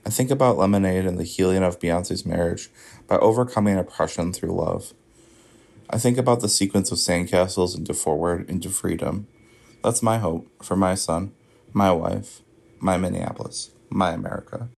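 Man, deep and gravelly, solemn listener message. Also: moderate pace, darkish tone.

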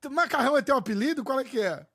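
High-voiced Brazilian man, casual host. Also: animated, fast talker, creaky, fairly bright tone, dry recording. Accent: Brazilian Portuguese. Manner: loose, slangy, repeats and restarts words. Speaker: podcast host. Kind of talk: casual interview chat.

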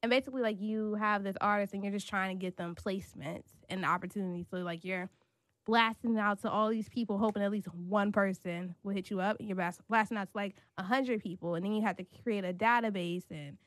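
A woman's voice: mid-range voice, steady tone, brisk, no room sound. A young woman from North Carolina, raised in Washington DC, chatting casually as a guest and rambling with many fillers. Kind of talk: casual interview chat.

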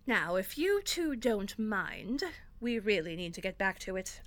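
A woman's voice: medium pitch, very animated, unhurried, dry recording. A woman playing an exasperated, sardonic theater director in an audio drama, bossy but encouraging. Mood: brisk, annoyed. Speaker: actor in an audio fiction podcast. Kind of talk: acted audio drama dialogue.

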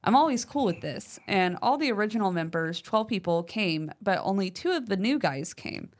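A woman co-hosting a podcast talks in a relaxed, chatty way, sprinkling in "like" and "um". She reads listener mail aloud with lively emphasis and keeps an everyday, mid-height voice. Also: mid-range voice, moderate pace. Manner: chatty, uses fillers like "like" and "um". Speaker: podcast co-host.

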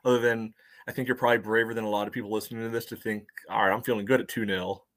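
A man's voice: lowish voice, little pitch movement, racing, a bit bright. A man co-hosting a soccer fan podcast, talking casually and feeling his way through his points. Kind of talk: casual sports talk.

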